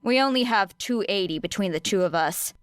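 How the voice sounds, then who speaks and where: Brief: woman narrator playing a sarcastic, scheming schoolgirl for audiobook dialogue; crisp, dry close sound. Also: medium pitch, expressive, a little fast, no room sound, lightly acted. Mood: matter-of-fact. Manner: sarcastic, blunt. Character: brash, teasing, scheming teenage girl student.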